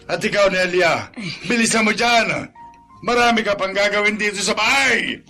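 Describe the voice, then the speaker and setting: Harsh, sharp, high-voiced father. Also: normal speed, faint music behind. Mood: scornful, impatient. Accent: Filipino. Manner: scolding, blunt, impatient. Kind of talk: Filipino radio drama acting.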